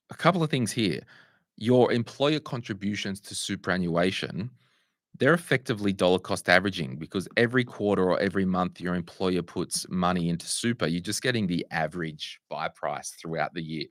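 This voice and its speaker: Croaky deep-voiced Australian man. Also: moderate pace, dry recording, studio quality. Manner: conversational, restarts and asides while fixing tech. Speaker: host answering viewer questions. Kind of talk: casual livestream Q&A.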